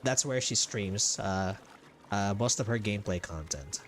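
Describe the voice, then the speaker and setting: A man with a Filipino accent chatting casually as a game streamer, haltingly and often repeating his phrases, over game music. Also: lowish voice, animated, a little hiss or hum. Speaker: game livestreamer. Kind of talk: casual livestream chat. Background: video game music.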